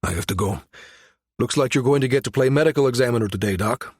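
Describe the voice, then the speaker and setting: Guy reading as serious husky investigator man, sci-fi audiobook, measured, dry sound. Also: medium pitch, no room sound. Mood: grim. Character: grave, inscrutable male investigator, measured and probing. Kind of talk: audiobook character dialogue.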